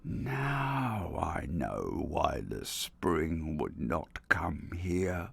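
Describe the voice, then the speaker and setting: A man voicing a slow gravelly storybook giant. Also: sits low, very animated, a bit bright, no room sound, pitched below the performer's own voice, heavily acted. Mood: remorseful. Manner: slow, heavy, solemn delivery. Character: huge remorseful old male giant.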